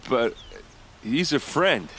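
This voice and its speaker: A man playing a grieving, hard-drinking guard captain in a video game, with a halting, weary delivery. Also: medium pitch, sing-song, sharp tone, a little hiss or hum, exaggerated voice. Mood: disbelieving.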